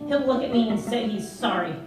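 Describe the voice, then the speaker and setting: A woman voicing a gentle, hurt boy with quiet defiance and a hushed, earnest manner in a story reading. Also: fairly high pitch, fairly quick, natural voice. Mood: wounded, hopeful. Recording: very echoey room, far off, music behind.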